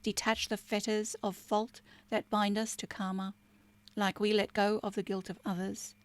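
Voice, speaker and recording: A woman spiritual teacher giving an informal talk, chatty with fillers and restarts, turning soft and hushed when she reads prayer lines. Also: medium pitch, flat delivery, normal speed.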